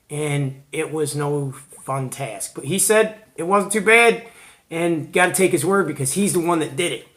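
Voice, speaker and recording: A man's voice: a little high, a bit bright. A man hosting a hobby show, giving casual, chatty show-and-tell commentary in informal, slangy language. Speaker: host of a CB radio hobby show.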